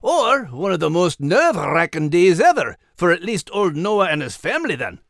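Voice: mid-range voice, very animated, moderate pace, fairly bright tone, no echo, clean studio sound. An actor voicing a jolly, blunt animal character with a Scottish accent and a folksy, teasing manner in playful podcast banter.

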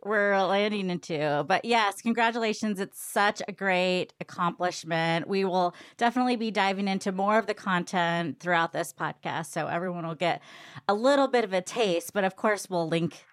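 A woman's voice: medium pitch, normal speed, smooth, no room sound. Upbeat, playful woman interviewer with a mid-range voice, recorded dry and close. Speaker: podcast host. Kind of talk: podcast interview.

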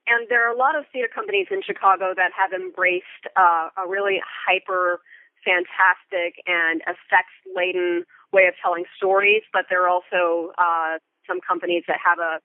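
An American woman being interviewed as a Foley artist, explaining things thoughtfully and in detail, with halting pauses. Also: on the low side, steady tone, dry recording, phone-quality sound. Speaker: guest, theatre Foley artist. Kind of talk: interview conversation.

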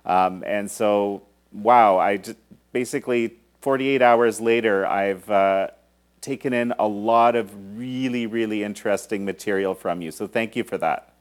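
A man's voice: fairly low pitch, measured pace. A man hosting an interview podcast, asking rambling questions with many fillers. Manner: hesitant, filler-heavy questions. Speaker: podcast host. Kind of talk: interview hosting and read sponsor ad.

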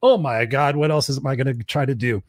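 Hoarse, fast-talking podcast host. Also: male voice, medium pitch, dry recording, studio quality. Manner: fast, energetic pep-talk delivery. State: hoarse with a cold. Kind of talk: live podcast talk.

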